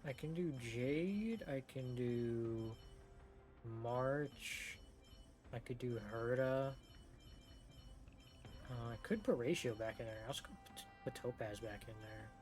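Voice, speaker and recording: A man's voice: mid-range voice, slow pace, long pauses, a little mellow. A man giving casual, offhand playthrough commentary as a game streamer, thinking aloud and swearing now and then. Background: game music.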